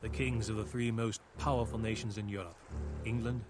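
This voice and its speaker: A man's voice: lowish voice, steady tone, close to an everyday voice. Solemn crusader storyteller played by a man over music. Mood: grave, urgent. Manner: measured, grave, formal. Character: captured Norman crusader narrating his years with Saladin, reflective, solemn. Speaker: campaign narrator. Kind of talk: scripted dramatic storytelling narration. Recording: voice over a clear music bed. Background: game cutscene music.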